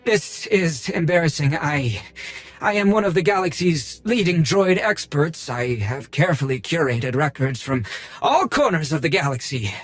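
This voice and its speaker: A man's voice: on the high side, animated, moderate pace. A man playing a fussy, pompous and nervous collector of rare droids in a theatrical video game style. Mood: embarrassed, nervous. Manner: pompous, theatrical, flustered under pressure. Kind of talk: video game cutscene acting.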